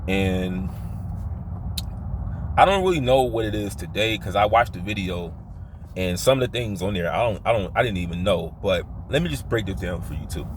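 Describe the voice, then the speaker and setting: A man with a Philadelphia accent and heavily stressed O's, telling personal stories in a rambling, halting way that often circles back and repeats. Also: low-pitched, lively intonation, a little hiss or hum. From Philadelphia, United States. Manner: stresses his O vowels; halting, repetitive, circles back to his point. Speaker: vlogger.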